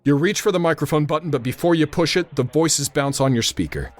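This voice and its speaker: An actor playing a steady, grave radio operator who gives precise, authoritative instructions and narrates in the style of old-time radio drama. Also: male voice, a little high, fairly quick, lightly acted. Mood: grim, brooding.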